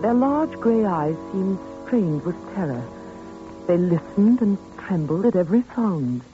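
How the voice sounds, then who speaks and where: An actress playing a gentle, anxious lady with a soothing, hesitant manner in a 1940s radio drama. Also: medium pitch, sing-song, a little slow. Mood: troubled, reflective. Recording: muffled 1940s radio recording with music.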